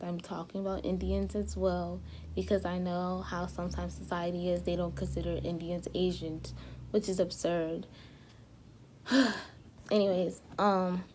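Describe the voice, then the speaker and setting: Slightly hoarse young woman rambling casually. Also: on the low side, a little mellow, slight background noise. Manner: rambling, with many fillers and restarts. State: slightly hoarse, losing her voice. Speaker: vlogger. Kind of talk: casual talk to camera.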